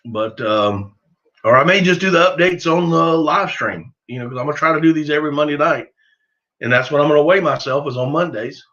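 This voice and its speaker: A man's voice: fairly high pitch, brisk, a bit bright, highs cut off. A middle-aged man with a Southern US accent chatting casually on a livestream, rambling in a folksy, self-deprecating way. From southern United States. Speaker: livestream host of a duck hunting channel. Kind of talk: casual livestream chat, answering viewers.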